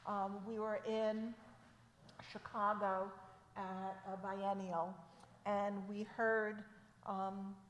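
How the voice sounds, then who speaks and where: A woman leads a synagogue service in an unhurried, measured voice. Her words ring out through an echoey sanctuary with a long reverb tail. Her pitch sits in an ordinary middle range. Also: little pitch movement, slight background noise. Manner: slow, measured reading of names. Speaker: service leader. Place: temple sanctuary.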